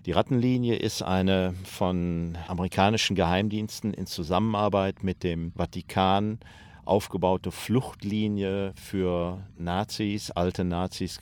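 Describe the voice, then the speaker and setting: A man's voice: low-pitched, no room sound. A man giving factual, explanatory commentary in spoken interview style. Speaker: documentary commentator.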